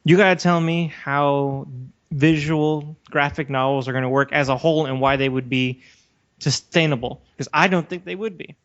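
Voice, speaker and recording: Argumentative man, slightly high voice. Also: normal speed, a bit bright. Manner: argumentative, challenging. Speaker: podcast co-host. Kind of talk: casual debate-style chat. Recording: slightly dull, lo-fi audio.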